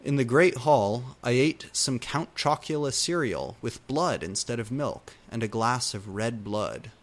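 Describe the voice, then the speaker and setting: A man giving a comedic dramatic reading of fan fiction, deadpan and theatrical with drawn-out emphasis. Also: mid-range voice. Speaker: fanfiction reader.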